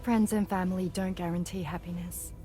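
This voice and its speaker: A woman's voice: lowish voice, fairly even intonation, a little fast, mellow, soft background music, lightly acted. An actress playing a blunt female crew member in a game cutscene.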